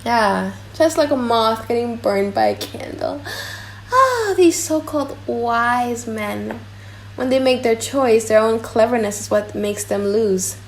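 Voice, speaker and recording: A woman voicing a composed, wry young noblewoman in a dramatic audiobook, with measured, formal delivery. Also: fairly high pitch, a little hiss or hum. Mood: dry, wry.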